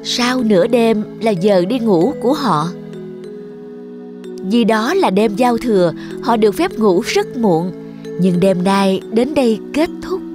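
A woman narrates a children's fairy tale from a script. Her voice sits fairly high, and music plays clearly behind her. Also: expressive, slow. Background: clear background music.

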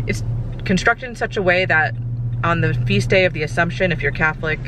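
A woman's voice: on the low side, brisk, background noise. A woman in her forties recapping a sightseeing visit in a chatty, informative way, talking to a handheld camera inside a car. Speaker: travel vlogger. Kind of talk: casual travel vlog to camera. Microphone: camera held at arm's length.

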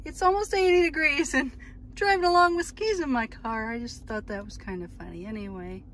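A chatty middle-aged woman from Minnesota talks into a phone at arm's length, rambling and amused at herself. Her voice sits a little high for a woman. She sounds relaxed and unscripted, like a travel vlogger thinking aloud. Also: fairly quick, slight background noise. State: out of breath at altitude. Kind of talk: casual vlog to camera. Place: in a car, then outdoors on snowy ski trails. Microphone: phone held at arm's length.

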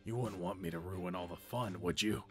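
A man playing an arrogant, menacing alien warrior villain in an amateur anime game roleplay, taunting with deliberate menace. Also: sits low, a little mellow, soft background music, lightly acted. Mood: mocking.

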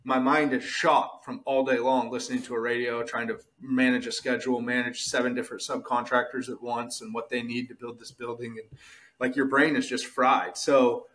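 A man's voice: medium pitch, flat delivery, normal speed, a bit bright. A man hosting a podcast, talking casually in an earnest, emphatic, motivational way and repeating his points for weight. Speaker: podcast host.

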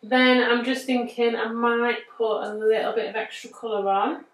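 A woman's voice: on the high side, fairly even intonation, normal speed. A woman in her thirties with a northern English accent, talking through a nail art design step by step in a chatty, easygoing way as she thinks aloud. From England. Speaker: nail artist demonstrating a design. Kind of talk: casual step-by-step tutorial talk. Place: bright indoor studio. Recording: roomy, distant mic.

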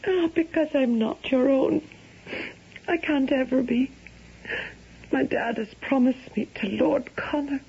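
A young daughter in love speaks in a very husky, slightly high voice. She is anguished and devoted, on a muffled old radio drama recording. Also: unhurried, pauses often, warm tone, full character voice. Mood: anguished pleading. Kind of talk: 1970s American radio drama acting. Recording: dull vintage radio broadcast.

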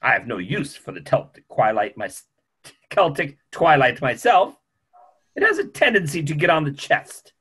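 Guy acting as grumpy older doctor in a play reading, dry and fussy, highish husky voice. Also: big pitch swings, moderate pace, a bit bright, heavily acted. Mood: dry disapproval. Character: grumpy, opinionated older male family doctor. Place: small home room on a video call.

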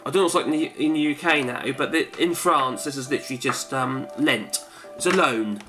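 A man's voice: mid-range voice, a little fast, music in the background. A wheezy man in his forties, rambling through a casual how-to demonstration in his home study. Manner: rambling, chatty, self-correcting. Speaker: home video maker. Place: cluttered home study, indoors.

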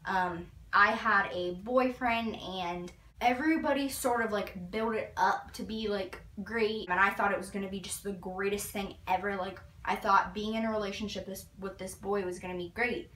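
A teenage girl talks through an album in an eager, gushing way, with "like" in nearly every sentence. Her voice is bright and forward. She sits a little back from the camera in her bedroom, so the sound is roomy. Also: mid-range voice, steady tone, normal speed, hardly any pauses. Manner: gushing, filler-heavy with frequent "like". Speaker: vlogger. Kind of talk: casual album review. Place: bedroom, indoors. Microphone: fixed camera a few feet away. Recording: roomy, slightly distant.

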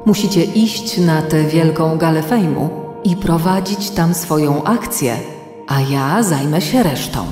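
A caring, worried female mentor with a very low voice. She speaks in long, echoing reverb with music behind her, as in a children's radio play. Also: unhurried. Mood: earnest, concerned. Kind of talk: children's audio drama acting.

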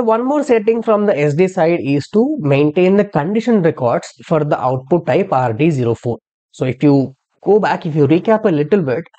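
A software instructor narrating a step-by-step tutorial in an explanatory way, posing questions and then answering them. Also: medium pitch, muffled recording. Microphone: screen-recording voice-over.

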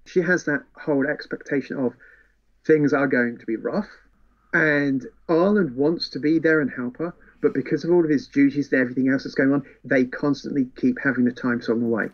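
A man's voice: medium pitch, fairly quick, lo-fi sound. A man co-hosting a casual book chat, retelling plots and acting out characters' lines as he explains. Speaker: podcast co-host.

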